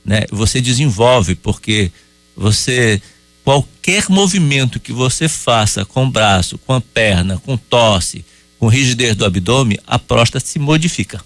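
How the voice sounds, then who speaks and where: A man answering listeners' medical questions on a radio call-in show, explaining calmly and at a measured pace. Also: medium pitch, no room sound. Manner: calm, explanatory, measured with hesitations. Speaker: urologist guest. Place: radio studio.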